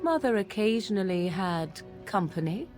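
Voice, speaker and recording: A woman's voice: medium pitch, big pitch swings, normal speed, a little mellow, faint music behind, natural voice. An actress playing an aloof, sardonic young woman of the wilds in a fantasy game, guarded, arch and dry. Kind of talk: fantasy game banter acting.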